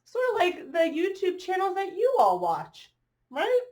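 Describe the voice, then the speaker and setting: Slow, animated, high-voiced woman reading to children. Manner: expressive, drawn-out reading with questions to children. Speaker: teacher reading aloud to children. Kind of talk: storybook read-aloud with asides to young listeners.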